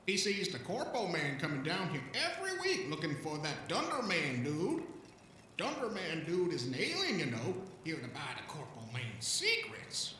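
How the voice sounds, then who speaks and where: High-pitched, wildly swooping old vagrant. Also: male voice, huge pitch swings, normal speed, pushed and bright, heavy reverb, recorded from a distance, slight background noise, exaggerated voice. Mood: rambling, suspicious. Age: older. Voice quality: rough, coarse voice. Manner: folksy, rambling, stammering, talks of himself in third person. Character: shabby, rambling, excitable centuries-old alien vagrant. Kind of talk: audio drama character acting.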